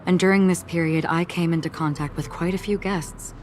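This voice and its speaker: An actress voicing a calm, enigmatic wandering swordswoman in a video game, measured, soft-spoken and reflective. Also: lowish voice, slight background noise, natural voice. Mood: quiet, earnest. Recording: music behind the voice.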